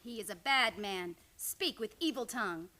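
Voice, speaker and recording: A woman playing a proud, excitable young Comanche wife who speaks in halting, simple phrases in a western radio play. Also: mid-range voice. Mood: resentful.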